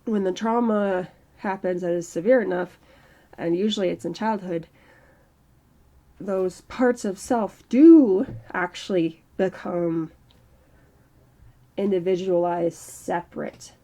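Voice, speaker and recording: A woman in her early thirties talking slowly and reflectively in a personal vlog, with a strained throat. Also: lowish voice, dark tone. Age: early 30s. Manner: slow, halting, reflective. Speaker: channel host. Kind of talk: personal mental health vlog monologue.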